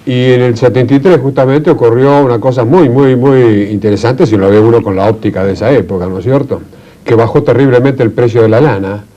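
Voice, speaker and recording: Man, Argentine accent, medium pitch, warm dark tone, explanatory guest, check-in tags, muffled recording. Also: fairly quick. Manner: didactic, with frequent check-in tags. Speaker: panel guest explaining history. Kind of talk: conversational analysis. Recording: dull, lo-fi sound.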